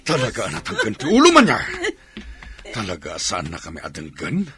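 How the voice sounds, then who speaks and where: A man's voice: low-pitched, wildly swooping pitch, brisk, pushed and bright, heavily acted. A man with an Ilocano accent playing a domineering, hot-tempered, scolding father in a radio drama. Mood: angry, scolding. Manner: scolding, commanding. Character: domineering, hot-tempered father forcing his daughter to marry.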